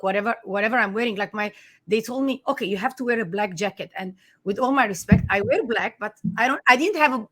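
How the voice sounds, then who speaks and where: A woman's voice: on the high side, fairly bright tone. A woman whose first language is Armenian, an author and life coach, talking earnestly in an interview with frequent restarts. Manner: earnest, emphatic, many restarts. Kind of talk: conversational interview.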